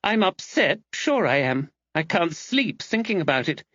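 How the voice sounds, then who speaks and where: A man's voice: fairly high pitch, very animated, a bit bright, no room sound, dull audio, voice pushed up, full character voice. A man voicing an upset young woman in an audiobook, earnest, insistent and suspicious. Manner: emphatic, earnest, rushed. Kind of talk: audiobook character dialogue.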